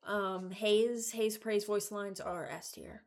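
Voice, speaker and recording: A woman's voice: mid-range voice, fairly even intonation, slow pace, a little mellow. A young adult woman giving informal, candid commentary as she ranks things, rambling as she thinks aloud. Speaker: video creator. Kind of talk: casual ranking commentary.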